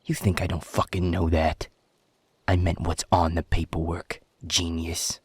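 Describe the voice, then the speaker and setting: A man voicing an aggressive, foul-mouthed werewolf pack beta in an audio roleplay, taunting and sarcastic, with a gravelly, husky voice. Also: low voice, little pitch movement, a little slow, no room sound, heavily acted. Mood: sneering interrogation.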